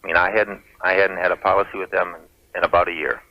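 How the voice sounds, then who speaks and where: A man's voice: low-pitched, level tone, fast talker, no echo. A man calling in to a radio show, talking casually. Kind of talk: casual phone-in conversation. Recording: dull lo-fi call-in radio tape.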